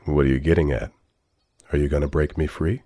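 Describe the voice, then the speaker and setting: An actor playing a polite, introspective AI robot companion with a measured, formal way of talking, in a scripted sci-fi audio drama. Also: male voice, rumbling low, steady tone, mellow, no echo, studio quality. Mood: earnest, reflective. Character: emotional, polite male AI robot companion, introspective and easily slighted.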